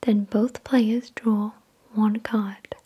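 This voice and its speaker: A woman whispering softly and breathily in ASMR style, reading aloud in a deliberate, measured way. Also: medium pitch, level tone, husky, muffled recording. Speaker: ASMR video creator and host. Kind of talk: soft-spoken ASMR, reading card text aloud.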